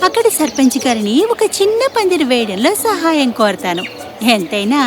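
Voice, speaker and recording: Very high-pitched dreamy milkmaid girl. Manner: excitable, wistful musing aloud. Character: dreamy, boastful young village milkmaid girl. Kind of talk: animated children's fairy tale acting.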